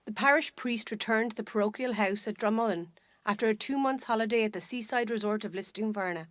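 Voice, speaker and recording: A woman with an Irish accent narrating a literary short story in a measured, expressive storytelling manner. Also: mid-range voice, no echo, clean studio sound. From Ireland. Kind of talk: scripted dramatic literary narration.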